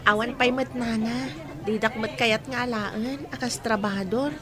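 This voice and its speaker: A woman's voice: a little high, fairly even intonation, measured pace, crowd noise, natural voice. A woman playing a pitiful young orphan, humble, grateful and plaintive, in an Ilocano radio drama.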